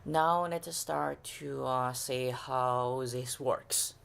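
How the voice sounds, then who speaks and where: A woman giving a casual unboxing commentary, halting and thinking aloud as she handles things. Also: rumbling low, creaky. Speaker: vintage audio gear presenter. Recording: noisy amateur room recording.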